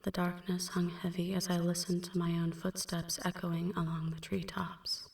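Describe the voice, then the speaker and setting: A woman playing a melancholy female vampire in an ASMR roleplay, recounting a dark past in a hushed, breathy, measured half-whisper. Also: on the low side, monotone, roomy sound, distant mic. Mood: somber, haunted. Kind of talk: ASMR roleplay, slow dramatic first-person narration.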